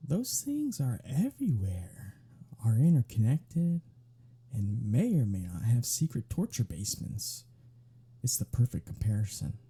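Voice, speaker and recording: Sly flirtatious male vampire played by a man for ASMR roleplay: very dark, breathy voice, sardonic teasing drawl. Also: medium pitch, huge pitch swings, measured pace, heavily acted. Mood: wry, needling. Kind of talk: ASMR roleplay audio drama.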